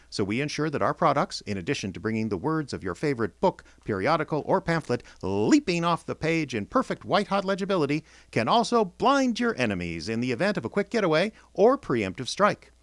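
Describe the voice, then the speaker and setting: A man hosting a radio theater show, announcing from a script with theatrical, deliberate phrasing. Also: medium pitch, a bit bright, no room sound. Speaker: announcer and host.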